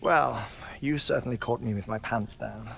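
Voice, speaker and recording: An actor playing a calm, measured, dryly wry man who dies every twenty-one minutes, in a video game cutscene. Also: mid-range voice, gravelly, noisy background. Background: game soundtrack music.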